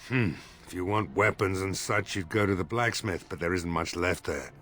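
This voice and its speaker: An actor voicing a weary, gruff village mayor in a video game, blunt and grumbling, with a gravelly, husky voice. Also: male voice, deep voice, normal speed, fairly bright tone, faint music behind. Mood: wry, grumbling.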